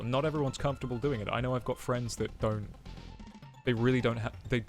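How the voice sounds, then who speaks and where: A man chatting as a guest on a stream, talking in a monotone, reflective way. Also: mid-range voice. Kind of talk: casual online chat.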